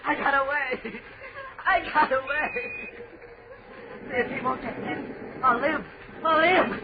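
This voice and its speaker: A man's voice: extremely high pitch, takes it slowly, a loud crowd, exaggerated voice. An actor playing a frightened man cracking into hysteria in an old radio horror play, agitated and melodramatic, repeating himself in panic. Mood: hysterical. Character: frightened, frantic man trapped among ghosts, cracking into hysteria. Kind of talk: 1940s radio horror acting. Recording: muffled, noisy old radio recording.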